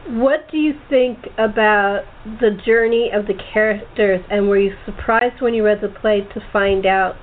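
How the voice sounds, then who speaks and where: A woman's voice: medium pitch, moderate pace, few pauses. A chatty woman hosting a casual group interview over an online call. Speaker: host and playwright. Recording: thin phone-like call with background noise.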